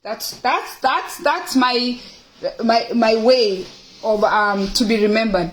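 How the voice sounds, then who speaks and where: Middle-aged female livestream host, Kenyan accent, high voice, opinionated and emphatic, informal address to viewers. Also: slow, slight background noise, low-quality recording. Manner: emphatic, repeats phrases for stress. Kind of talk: casual, opinionated talk to viewers, reading out questions.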